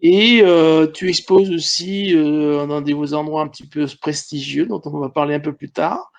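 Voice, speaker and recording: A man interviewing a guest in a casual, halting way, asking questions with lots of fillers. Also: a little high, fairly even intonation, vocal fry. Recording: dull lo-fi sound.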